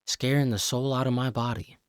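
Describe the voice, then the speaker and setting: A man's voice: mid-range voice, fairly even intonation, a little fast, clear, resonant tone. A young American man giving casual, joking reaction commentary in exclamatory slang. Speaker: host of a reaction channel.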